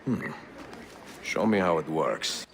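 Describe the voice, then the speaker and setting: An actor playing a courteous, composed, mature Italian master assassin in a video game, with an Italian accent and a measured, dry manner. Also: male voice, deep voice, very animated, creaky, a bit bright, a little hiss or hum, exaggerated voice. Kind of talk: video game cutscene acting.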